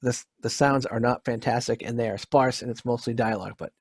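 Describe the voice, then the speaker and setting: A man giving a casual narrated lecture, speaking in a hesitant, halting way. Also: medium pitch, steady tone, husky, dry recording, studio quality.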